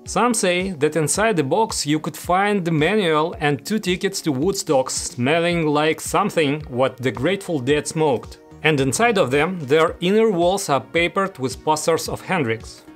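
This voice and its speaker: A man's voice: fairly high pitch, a little slow, few pauses. A man in his thirties giving a scripted product review as host, speaking to camera in an indoor room. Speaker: audio gear reviewer, host. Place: small studio room, indoors. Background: music.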